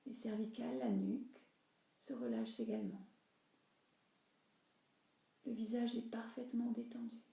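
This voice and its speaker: A woman guides a relaxation practice in a roomy, echoing recording. Her voice sits a little high yet stays mellow. She instructs soothingly, letting phrase endings soften into breath. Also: sing-song, normal speed, creaky, pauses often. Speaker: yoga nidra teacher.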